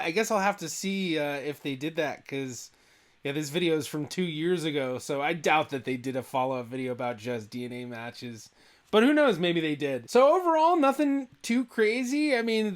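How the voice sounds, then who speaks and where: A young adult man, a genealogist, explaining test results in a relaxed, thinking-aloud commentary. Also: a little high. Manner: thinks aloud, hedging with "I feel like". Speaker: genealogist reacting to a video.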